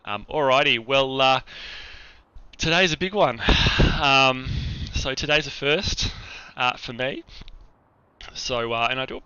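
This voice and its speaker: A man's voice: slow, long pauses, bright, forceful tone, clear, resonant tone, no echo. Chatty streamer man on a lo-fi mic. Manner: chatty, many fillers and restarts. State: under the weather, deeper voice. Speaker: charity streamer. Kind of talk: casual flight sim stream chat. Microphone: small boom mic. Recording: lo-fi boom mic.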